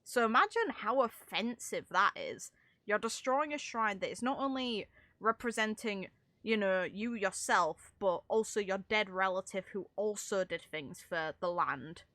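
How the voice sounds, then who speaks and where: A woman's voice: mid-range voice, lively intonation, a little slow, pushed and bright, no room sound. A woman talking casually and analytically through game lore, with opinionated, rambling asides. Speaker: content creator commentating on a game.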